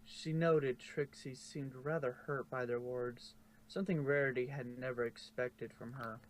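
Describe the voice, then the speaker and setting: A man's voice: medium pitch, fairly even intonation, unhurried, low-quality recording. A man reading scripted fan fiction narration, sometimes stumbling and correcting himself.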